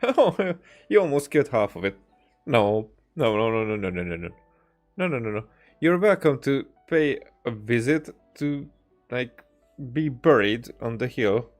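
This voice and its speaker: A man casually reacting to a game as he streams, halting and repeating his words. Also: medium pitch, sing-song, faint music behind. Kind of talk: casual live reaction to gameplay.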